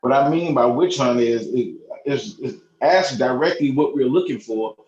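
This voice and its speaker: A man speaking as a board member in a formal meeting, halting and repeating himself in a conciliatory way. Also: mid-range voice, darkish tone. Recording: muffled, echoey online meeting audio.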